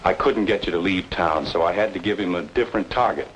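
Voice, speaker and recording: An actor plays a cool, secretive man in a film noir radio play. His voice is low, his manner wry and clipped. It is an old radio recording. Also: quick pace, lightly acted, poor audio. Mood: tense, resolute. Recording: old radio drama, background noise.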